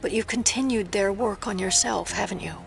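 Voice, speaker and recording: Gravelly, serious female agent played by a woman. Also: mid-range voice, a little fast, faint music behind, lightly acted. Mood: suspicious, probing. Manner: grave, probing, controlled. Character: serious, skeptical female federal agent, steadfast and compassionate. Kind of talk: audio drama acting.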